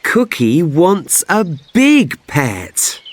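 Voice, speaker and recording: A woman narrating a children's read-aloud story slowly, in simple phrases. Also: lowish voice, sing-song, very noisy.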